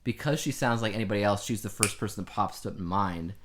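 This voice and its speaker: A man's voice: fairly low pitch, fast talker, noisy background. A middle-aged man co-hosting a podcast in casual conversation. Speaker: podcast co-host. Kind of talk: casual chat.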